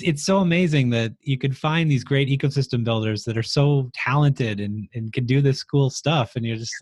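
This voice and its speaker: A man's voice: mid-range voice, a little mellow, no room sound. Easygoing male podcast host, dry close sound, chatty and laughs easily. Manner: casual, friendly, laughs easily. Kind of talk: podcast interview.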